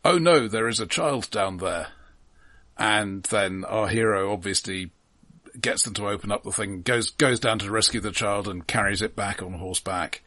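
A man co-hosting a film podcast, talking casually in a dry, measured way. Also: on the low side, pushed and bright.

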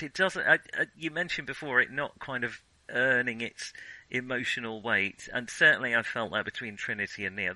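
Bright-voiced man chatting about films. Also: mid-range voice, dry recording, low-quality recording. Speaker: film discussion podcast co-host. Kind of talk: casual film discussion.

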